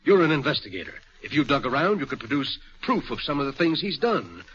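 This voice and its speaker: A man's voice: mid-range voice, very animated, fairly quick, fairly bright tone. A dull old radio recording of a middle-aged father. He is formal, worried and insistent, with an ordinary mid-range voice and a note of indignation. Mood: indignant. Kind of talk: 1940s radio drama acting. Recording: muffled 1940s radio broadcast.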